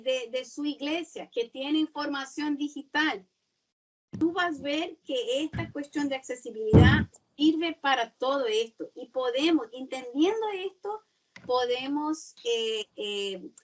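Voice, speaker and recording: A woman who is not a native Spanish speaker, giving a seminar talk and often pausing to search for words. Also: sits high, distant mic, lo-fi sound. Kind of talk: webinar talk.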